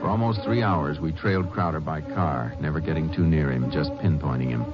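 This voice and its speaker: A low-pitched, assured lawman of the old West. He speaks plainly and with measured calm, like a shrewd Ranger in a 1950s drama. The old recording is dull, with music around it. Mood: measured narrating. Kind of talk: 1950s radio western acting and narration.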